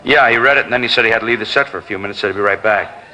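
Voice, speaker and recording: An actor playing a sheepish, apologetic, helpful film crew witness in a 1950s radio drama. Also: male voice, on the low side, sharp tone, low-quality recording. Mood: eager explaining. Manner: apologetic, hurried.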